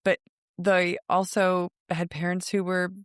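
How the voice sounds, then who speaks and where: A woman's voice: lowish voice, monotone, measured pace, smooth, dry recording, clean studio sound. A woman in her forties co-hosting a podcast, chatting casually in a hesitant, reflective way. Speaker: podcast co-host. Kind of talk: casual chat.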